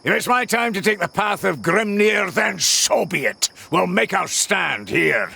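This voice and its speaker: A man voicing a gruff, stubborn, oath-bound male dwarf warrior in a video game cutscene, blunt and curt. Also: high-pitched, expressive, measured pace, sharp tone, full character voice. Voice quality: gravelly.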